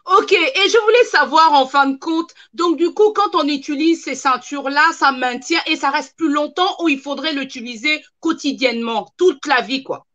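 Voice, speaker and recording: A woman's voice: high-pitched, unhurried, a bit bright, muffled recording. A woman in her thirties hosting a live online interview from home, chatty and encouraging, stringing questions together with fillers. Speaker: host. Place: room at home, indoors.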